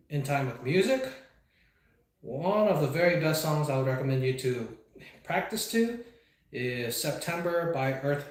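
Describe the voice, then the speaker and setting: Man talking in a very echoey room. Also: medium pitch. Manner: reflective, meandering, many fillers. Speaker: speedbag performer and channel host. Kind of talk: casual live chat while training. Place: indoor training space with a speedbag platform. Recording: very echoey room, faint background noise.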